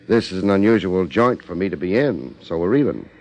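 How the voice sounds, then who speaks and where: An American actor playing a wry, hard-boiled private detective in a 1950s radio drama, terse and streetwise. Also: male voice, low-pitched, big pitch swings, brisk, a little mellow. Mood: terse, probing. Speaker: lead actor and narrator. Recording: muffled 1950s radio recording, faint music.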